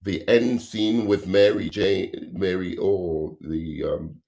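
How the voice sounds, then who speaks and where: Casual debate host: very deep man with a strained, fading voice, halting, analytical, many restarts. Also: fairly even intonation, vocal fry, a little mellow. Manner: analytical, explains at length. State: losing his voice. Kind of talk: casual movie review debate.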